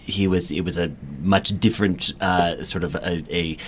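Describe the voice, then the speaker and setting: A man with a low voice, speaking as a guest in a casual interview. He talks haltingly, rewording as he goes, with clear noise behind him. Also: steady tone. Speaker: co-director and actor, interview guest.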